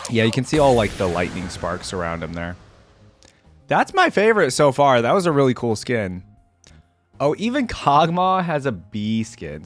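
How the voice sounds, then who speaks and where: Lively, excitable man reacting on video. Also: medium pitch. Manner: chatty, excitable, thinks aloud with many fillers. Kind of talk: casual reaction commentary.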